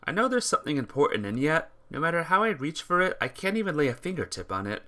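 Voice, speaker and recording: A playthrough host reading a visual novel aloud as expressive, dramatic scripted narration. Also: mid-range voice, fast talker. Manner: dramatic reading, acts out dialogue.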